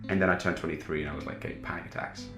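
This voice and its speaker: A man's voice: rumbling low, fairly even intonation, rapid-fire, reverberant, over music. A man in his twenties from Germany, a working musician talking reflectively in a documentary interview. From Germany, moved to England at fifteen. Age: 20s. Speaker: busker, professional musician.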